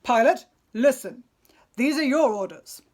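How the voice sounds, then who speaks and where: Brave Greek sea captain played by a woman, high-pitched, measured, firm and rallying, epic declamation. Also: animated, darkish tone. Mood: rousing, commanding. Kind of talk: storybook epic speech.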